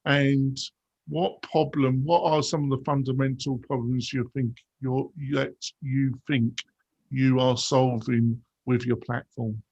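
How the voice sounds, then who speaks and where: A man's voice: mid-range voice, flat delivery, slow, darkish tone, husky, no echo, highs cut off. A man hosting a tech podcast, introducing guests and reading sponsor messages in an upbeat, announcer-like way. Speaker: podcast host.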